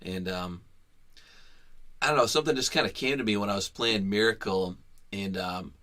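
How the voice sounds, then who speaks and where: A man's voice: on the low side, normal speed, sharp tone. A man hosting a livestream, talking casually and hesitantly with many fillers and restarts. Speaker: livestream host. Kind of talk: casual unscripted livestream talk.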